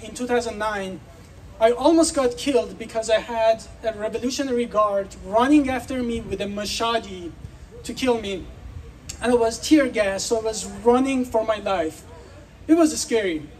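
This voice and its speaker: A man's voice: very high voice, a little mellow, distant mic, poor audio. A man from Iran giving an earnest, persuasive speech at an outdoor festival, putting questions to the crowd. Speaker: speaker.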